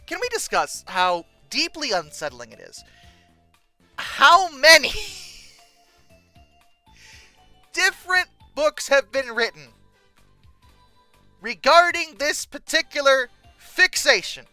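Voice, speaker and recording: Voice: high-pitched, big pitch swings, slow pace, pauses often, bright, forceful tone, no room sound, faint music behind. A video host giving casual, humorous commentary in a sarcastic, exasperated manner with dramatic emphasis.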